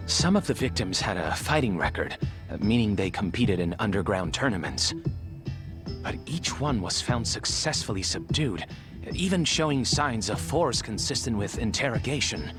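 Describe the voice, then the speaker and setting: A man's voice: on the low side, big pitch swings, moderate pace, over music. An actor playing a polite, studious male investigator's assistant in a game cutscene, wording things formally and precisely. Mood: polite, earnest. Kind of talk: dramatic game cutscene dubbing.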